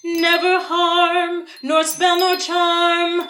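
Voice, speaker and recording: A woman's voice: very high voice, completely flat delivery, extremely slow, fairly bright tone, full character voice. A woman playing a small fairy attendant singing a lullaby in a Shakespeare audio play. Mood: soothing, protective. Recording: echoey, over music and chimes.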